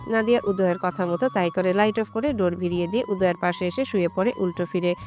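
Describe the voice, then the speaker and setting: A woman's voice: medium pitch, fast, darkish tone. Woman narrating a romantic story over music.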